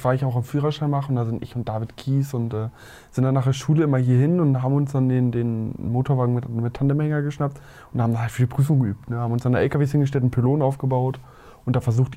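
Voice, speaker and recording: A man in his twenties chatting casually as a podcast guest, halting and repeating words. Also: mid-range voice, steady tone, dark tone. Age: 20s. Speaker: podcast guest, young tradesman. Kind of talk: casual chat.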